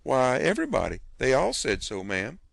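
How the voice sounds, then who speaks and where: A man's voice: medium pitch, wildly swooping pitch, normal speed, gravelly, no room sound, full character voice. Man playing a sly teen boy, wheedling and innocent-sounding. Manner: mock-humble, wheedling.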